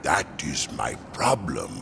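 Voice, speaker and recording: Ancient hungry stone construct for a game: extremely low, gravelly, slow and formal, swelling widely over music. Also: male voice, wildly swooping pitch, heavily acted. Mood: brooding. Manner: slow, stilted formal speech. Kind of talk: video game character acting. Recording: music behind the voice.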